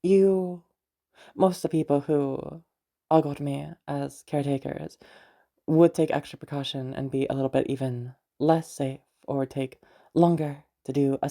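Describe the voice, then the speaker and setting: Audio roleplay: actress as teasing crazy female patient, gravelly fry, soft dark tone, halting and rambling. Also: low-pitched, steady tone. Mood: wistful confession. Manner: hesitant, rambling, many pauses and hums. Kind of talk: roleplay audio drama, intimate one-sided conversation.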